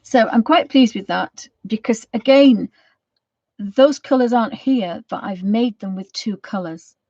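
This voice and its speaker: A woman with a croaky voice from a cold, chatting casually as she gives a painting tutorial and rambling with many ums. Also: mid-range voice, dark tone, no room sound. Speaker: art channel host. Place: home studio. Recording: dry, slightly dull sound.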